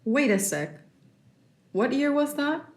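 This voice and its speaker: A protective mother, higher-pitched, roomy sound. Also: expressive, takes it slowly. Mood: gently chiding. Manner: concerned, slips into Spanish endearments and phrases. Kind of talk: naturalistic audio drama acting. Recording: roomy indoor echo.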